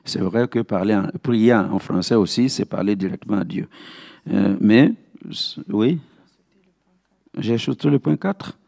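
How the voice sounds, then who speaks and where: Man, pastor, gravelly and mellow, didactic Bible teaching, repeated key phrases. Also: fairly low pitch, measured pace. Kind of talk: Bible teaching with verse citations.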